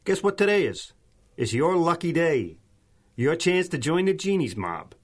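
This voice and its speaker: A man's voice: a little high, big pitch swings, a little slow. An actor playing a dim, servile gangster henchman in a 1930s-style radio serial, slangy and obsequious. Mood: eager coaxing. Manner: stammering, obsequious, streetwise slangy grammar.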